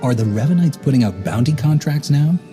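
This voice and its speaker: A man playing an earnest, resolute heroic knight in a video game, speaking in a measured way. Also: mid-range voice, expressive, warm tone, soft background music, natural voice. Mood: concerned. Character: earnest, resolute male Jedi hero.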